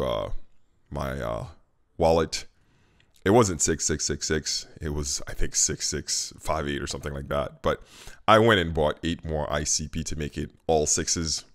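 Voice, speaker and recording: A man in his thirties from Haiti, chatting casually and candidly to camera from his home kitchen into a studio mic, with lots of fillers. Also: very deep voice. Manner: conversational and candid, with frequent fillers. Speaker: vlogger. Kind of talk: casual talking-head vlog. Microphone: studio mic on a stand in front of him.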